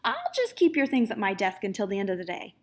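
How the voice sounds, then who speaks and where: A woman's voice: mid-range voice, huge pitch swings, fast. A woman voicing a quirky, kindly but firm mouse schoolteacher in a storybook, composed and instructive. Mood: calm firm.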